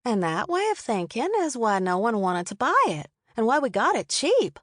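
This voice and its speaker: Brief: woman voicing a cheerful young newlywed, bright and chatty, dry close sound. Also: fairly high pitch, very animated, moderate pace, dry recording, pitched above the performer's own voice.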